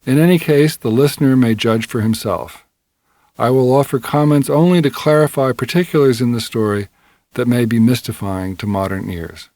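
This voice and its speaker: A man reading a scripted scholarly introduction in a measured, formal, articulate manner. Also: medium pitch, darkish tone, dry recording. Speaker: audiobook reader of an introduction.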